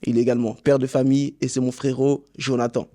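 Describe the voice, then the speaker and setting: A man's voice: medium pitch, level tone, fast, dark tone. A man hosting a casual boxing podcast interview, friendly and enthusiastic. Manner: friendly, enthusiastic, repeats himself. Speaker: podcast host.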